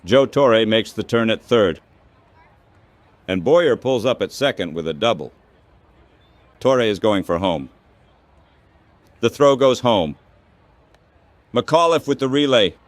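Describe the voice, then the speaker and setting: A man doing baseball play-by-play commentary as the announcer, in measured, clipped phrases. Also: lowish voice, animated, no room sound.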